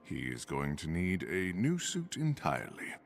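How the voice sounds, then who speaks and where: A man's voice: low-pitched, wildly swooping pitch, normal speed, soft background music, exaggerated voice. A man voicing a hearty, gallant warrior god prince in a video game, with grand, courtly phrasing. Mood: jovial. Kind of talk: video game voice acting, dramatic dialogue.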